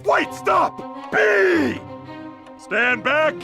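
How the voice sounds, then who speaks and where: Pompous bossy young hothead for a cartoon game, really high voice, super melodramatic, music behind. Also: male voice, sing-song, very slow, creaky, exaggerated voice. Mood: commanding, manic. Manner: bossy, melodramatic, barking orders. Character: pompous, hot-headed young man obsessed with killing a bee. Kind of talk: animated comedy game voice acting.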